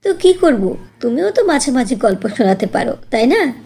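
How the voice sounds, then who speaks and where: A woman's voice: high voice, sing-song, normal speed, creaky, dark tone, slightly rough, full character voice. A woman voicing a patient, playful young mother in a cartoon, with a coaxing way of talking. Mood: light teasing. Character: patient, playful young village mother telling bedtime stories. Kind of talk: Bengali cartoon dubbing.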